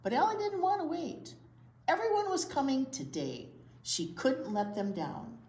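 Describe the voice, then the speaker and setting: A woman reads a story for young children at an unhurried pace. Her pitch swings widely with drawn-out emphasis, and the room adds a clear echo. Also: huge pitch swings, darkish tone. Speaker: children's library storytime co-host and reader. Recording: echoey room.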